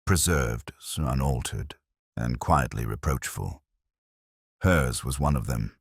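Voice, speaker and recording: A man playing a melancholy police detective, narrating a mystery in a measured, literary, reflective way. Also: extremely low pitch, no room sound, clean studio sound, full character voice. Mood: wistful. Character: brooding, melancholy male police detective narrating his case. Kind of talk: scripted first-person mystery narration.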